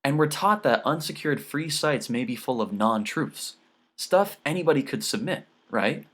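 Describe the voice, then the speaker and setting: A man's voice: mid-range voice, lively intonation, moderate pace, a bit bright, pitched above the performer's own voice. A man voicing an earnest teenage daughter who explains things patiently in an audiobook story.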